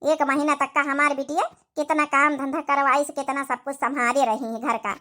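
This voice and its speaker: Cartoon role: woman performer as elderly Awadhi mother-in-law, very high bright voice, doting, coaxing rural manner. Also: level tone, moderate pace. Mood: wistful, homely. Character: patient old village mother-in-law, doting and soothing. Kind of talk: Awadhi comedy cartoon voice acting.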